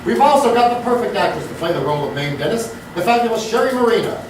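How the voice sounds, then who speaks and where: A man's voice: high-pitched, animated, quick pace. A man, a theater director, making a stage announcement about an upcoming show to an audience. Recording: very echoey, distant, loud room noise.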